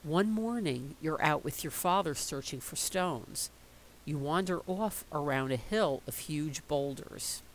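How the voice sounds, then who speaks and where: A middle-aged woman reading a picture book aloud in a slow, expressive storytelling voice. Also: low-pitched, slight background noise, low-quality recording.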